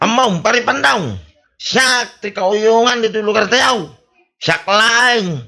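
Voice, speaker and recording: An actor with an Ilocano accent playing a boastful, wheedling drunk in a radio comedy, slurring and rambling. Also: male voice, very high voice, slow, creaky, pushed and bright, exaggerated voice. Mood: boastful, belligerent. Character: boastful, wheedling drunken village man buying on credit.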